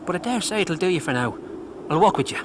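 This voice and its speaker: Blunt folksy mining town lodge man, radio drama, bit high voiced, noisy background. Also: expressive, fast. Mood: matter-of-fact. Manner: folksy, plain-spoken. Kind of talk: period radio drama acting.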